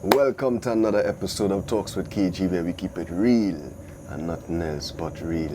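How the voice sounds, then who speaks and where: A man's voice: sits low, moderate pace, over music. A man with a Trinidadian accent hosting a casual interview, speaking in informal slang and repeating short affirmations. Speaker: talk show host and interviewer.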